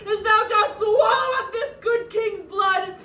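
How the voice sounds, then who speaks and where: A young woman acting a grieving, vengeful widowed noblewoman in a declamatory, emotional classical Shakespearean stage style. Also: high-pitched. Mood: anguished, furious. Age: young adult. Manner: declamatory, emotional, slow deliberate delivery. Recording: roomy, distant mic, noisy room.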